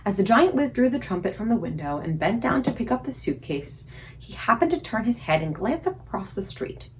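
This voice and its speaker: A woman reading a children's story aloud expressively, with dramatic, suspenseful emphasis and deliberate pauses. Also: medium pitch, sing-song, a little hiss or hum, low-quality recording. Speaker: librarian reading aloud for a book club.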